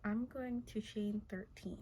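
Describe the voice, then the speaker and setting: A woman teaching crochet step by step, speaking softly in a breathy, half-whispered voice as she is losing her voice, counting stitches aloud and checking in with "okay?". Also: mid-range voice, fairly even intonation, a little slow, slight background noise, poor audio. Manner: soft-spoken, counts stitches aloud, many fillers like "like" and "okay?". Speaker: crochet tutorial maker. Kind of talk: casual step-by-step craft tutorial.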